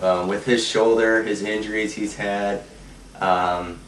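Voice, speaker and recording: A man with a cold and a nasal, stuffed-up voice, co-hosting a casual sports chat and reading the news at a steady pace. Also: fairly low pitch, takes it slowly, a little hiss or hum. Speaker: podcast co-host. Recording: echoey room, mic at a distance.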